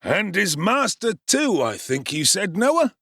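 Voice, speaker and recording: A man's voice: sits high, sing-song, unhurried, fairly bright tone, no room sound, pitched above the performer's own voice, heavily acted. A man playing a blustering pompous beadle. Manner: blustering, self-important. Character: pompous, officious middle-aged parish beadle. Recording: dry close studio sound.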